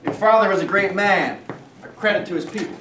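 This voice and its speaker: A man's voice: sits high, brisk, distant mic, background noise, natural voice. A man playing a bigoted, condescending steel mill executive in a staged reading, recorded in an echoey room with the mic some way off. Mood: smooth, evasive. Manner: blustering and condescending, turning oily.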